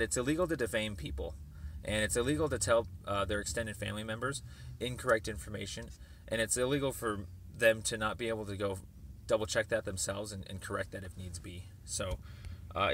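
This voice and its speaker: A man with a low, gravelly voice leaves a formal phone message. He is insistent and deliberate, often halting mid-sentence. Faint noise sits behind his words. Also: a bit bright.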